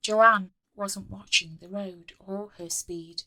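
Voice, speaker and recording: A woman author reading her own story aloud in an expressive scripted narration. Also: mid-range voice, slow pace, no echo. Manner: expressive, acts out the dialogue.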